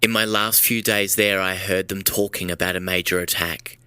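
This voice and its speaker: A beaten-down fugitive in a sci-fi audio drama, his low voice forceful and tense. He speaks with earnest remorse, like a confession, in a dry, close recording. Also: steady tone, normal speed, clear, resonant tone, dry recording. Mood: urgent.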